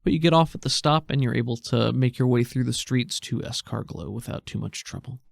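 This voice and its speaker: Creaky-voiced man narrating a tabletop game. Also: medium pitch, no room sound, clean studio sound. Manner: measured, descriptive, pausing between phrases. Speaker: game master.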